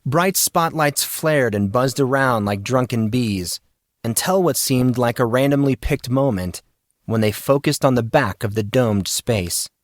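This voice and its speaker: A man's voice: medium pitch, unhurried, no echo. Man narrating a story, dry recording. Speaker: audiobook narrator. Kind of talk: dramatic scripted story narration.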